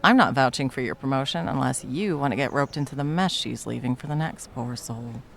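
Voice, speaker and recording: A performer playing a jaded, sarcastic cafe baker with a villainous side, speaking in a dry, menacing deadpan for a comedy audio roleplay. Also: mid-range voice, brisk, gravelly. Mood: dry menace. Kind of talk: ASMR comedy roleplay acting.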